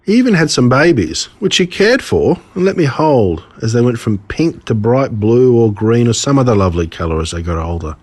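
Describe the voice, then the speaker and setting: Husky Australian man, animated storyteller. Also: mid-range voice, brisk, hardly any pauses. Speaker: author reading his own stories. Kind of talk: scripted storytelling narration.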